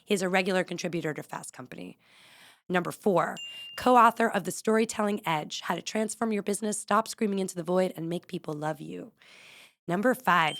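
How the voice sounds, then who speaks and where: A woman hosting an interview podcast, who reads the guest's introduction and then asks eager questions, laughing often. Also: lowish voice. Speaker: podcast host. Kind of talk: interview host.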